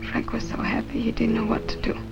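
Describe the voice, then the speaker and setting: A woman's voice: on the high side, completely flat delivery, fast talker, creaky. An actress playing an earnest, plaintive married woman in a 1950s television drama. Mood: weary, wistful. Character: weary, wistful married woman denying then confessing a secret. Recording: dull 1950s soundtrack, loud music behind.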